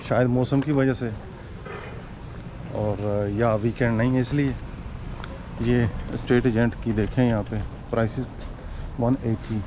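A man in his forties giving casual walking-tour commentary as a travel vlogger outdoors, mixing in English words. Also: mid-range voice. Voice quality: Urdu-Hindi speech mixed with English words. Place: outdoors on city streets and squares. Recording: outdoor street noise and ambience.

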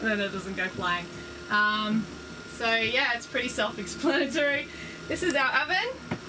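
A woman's voice: a little high, unhurried, noisy background. A woman, chatting casually and upbeat as she walks through the cabin of a sailboat, explaining her gear with frequent ums. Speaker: vlogger giving a boat tour. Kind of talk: casual walkthrough vlog. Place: small cabin of a sailboat, indoors. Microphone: handheld camera close by.

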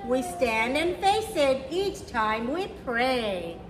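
A woman's voice: high voice, sing-song, slow pace. A woman reading aloud and teaching at children's storytime, speaking simply and encouragingly to young children. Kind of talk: read-aloud of a picture book for children. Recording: roomy echo with faint background noise.

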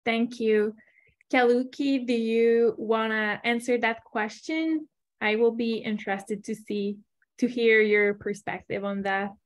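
Young female moderator, slightly high voice, hosting an online panel on a video call. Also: fairly even intonation, takes it slowly.

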